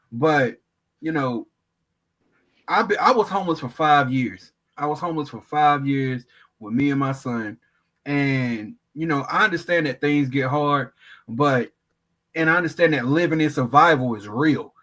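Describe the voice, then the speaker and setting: Brief: man in his thirties, candid conversational interviewer, slightly high voice. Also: a bit bright. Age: 30s. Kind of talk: casual interview.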